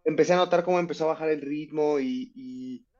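Mexican man, slightly high, muffled line. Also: a little mellow. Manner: thoughtful, halting, many restarts. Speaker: guest fighter. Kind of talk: interview answers.

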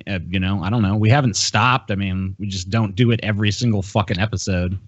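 A man's voice: fairly low pitch, fairly even intonation, fast, no echo, muffled recording. A man hosting a podcast, chatting casually with crude, heavily profane language and reading out viewer messages. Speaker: podcast host.

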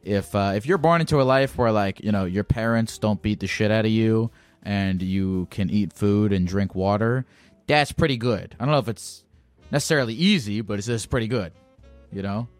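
A podcast host with a fairly low voice, blunt and teasing, who swears freely and stumbles through fillers as he chats with a caller. Soft music plays faintly underneath. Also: normal speed, dry recording. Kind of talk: casual chat with a caller.

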